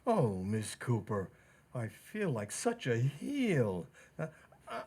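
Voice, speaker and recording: A man playing an oafish, lovestruck butcher in 1940s radio comedy, husky-voiced and halting. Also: mid-range voice, wildly swooping pitch, dark tone, exaggerated voice. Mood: delighted, doting. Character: smitten, oafish male butcher, bashful yet flirty.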